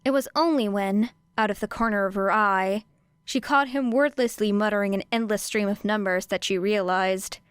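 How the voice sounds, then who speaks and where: A woman narrates fiction in a medium-pitched voice with a smooth, clean tone. She reads from a script as an audiobook narrator, close to the mic in a dry space. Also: fairly even intonation, normal speed, dry recording. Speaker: audiobook reader.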